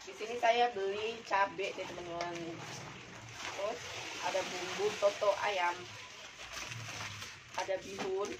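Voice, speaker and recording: A woman's voice: medium pitch, fairly even intonation, a little slow, long pauses. A woman in her thirties with a nasal, stuffed-up voice from a cold, hosting a casual home cooking vlog and chatting to her viewers as friends. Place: home kitchen. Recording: noisy home kitchen recording.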